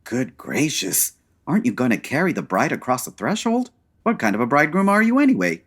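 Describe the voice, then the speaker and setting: A man playing a nosy, pushy hotel bellboy with a husky, fussy, officious delivery in old-time radio comedy style. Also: mid-range voice, sing-song, fairly quick.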